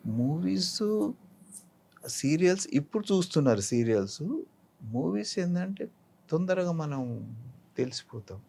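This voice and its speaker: A man's voice: on the high side, big pitch swings, measured pace, vocal fry, pauses often, mellow. A middle-aged or older man with a Telangana Telugu accent, talking as an interviewed actor, reflecting and giving advice through examples. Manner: didactic, illustrating points with examples.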